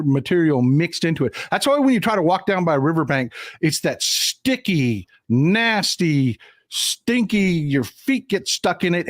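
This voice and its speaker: Folksy Texan man with a fairly high voice. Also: dry recording, studio quality. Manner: folksy, emphatic, swears casually. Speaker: live-stream host. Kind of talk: casual live-stream chat.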